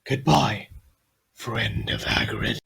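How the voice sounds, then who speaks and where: A man playing an ancient giant spider in an audio drama, grave and menacing, with a gravelly, creaky voice. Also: mid-range voice, slow pace, a bit bright, heavily acted. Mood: coldly menacing. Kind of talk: fan audio drama acting.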